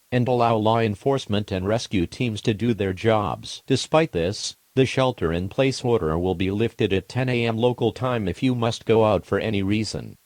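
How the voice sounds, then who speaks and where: Casting: man playing impersonal emergency broadcast announcer, lowish voice, dry close sound, measured formal reading. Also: a little mellow, dry recording, close to an everyday voice.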